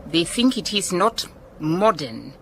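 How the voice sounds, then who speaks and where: A wise, self-assured lady detective from a radio drama, with a Southern African accent. Her voice is very low and she takes her time over each phrase, warm and emphatic. There is clear background noise with chirping insects. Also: sing-song, full character voice. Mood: grave, matter-of-fact. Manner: unhurried, measured, emphatic repetition.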